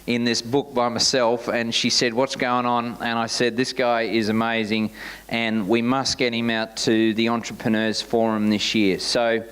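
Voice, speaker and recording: A man hosting a business forum, introducing the guest speaker through a relaxed personal anecdote. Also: medium pitch, moderate pace, smooth. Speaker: event host.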